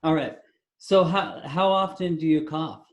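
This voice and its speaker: A man leading a live webinar as a spiritual healer, talking calmly and reassuringly in spiritual terms over a video call. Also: fairly high pitch, measured pace, roomy sound. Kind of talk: live webinar healing session.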